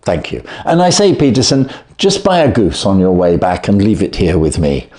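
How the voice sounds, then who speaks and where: A man reading the part of a brisk, clever, self-assured Victorian detective in an audiobook, with precise, commanding, theatrical delivery. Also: deep voice, expressive, mellow.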